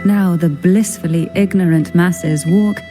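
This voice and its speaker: An actress plays an elegant, enigmatic noblewoman from a video game story. She speaks very slowly in a lowish register, formal and languid, with measured poise. Music plays clearly behind her. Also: fairly even intonation, natural voice. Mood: grave, ominous. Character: elegant, enigmatic noblewoman, head of a powerful family.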